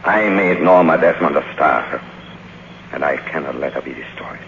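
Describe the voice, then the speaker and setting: A muffled, faintly noisy old radio recording of a devoted manservant. His extremely deep voice is grave and formal, with a protective solemnity. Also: moderate pace. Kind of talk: 1940s-50s radio drama acting.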